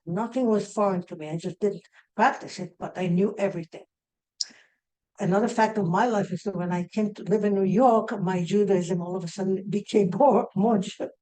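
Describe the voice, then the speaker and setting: An older woman with an Israeli accent lectures in a mellow, warm voice. She works through her ideas step by step and often restarts a phrase before finishing it. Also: mid-range voice, rough recording. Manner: explaining step by step, with frequent restarts. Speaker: astrology lecturer.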